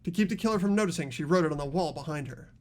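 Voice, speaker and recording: A man voicing an earnest high school boy in a mystery game, explaining a case in a deliberate, dramatic way. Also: lightly acted. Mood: grave, determined. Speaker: amateur voice actor in a game playthrough.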